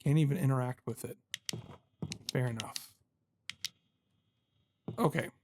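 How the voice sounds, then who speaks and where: Male gaming host, mid-pitched, casual, thinking out loud with self-deprecating asides. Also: measured pace, noisy background. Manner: self-deprecating, talks to himself while puzzling. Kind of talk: casual let's-play commentary.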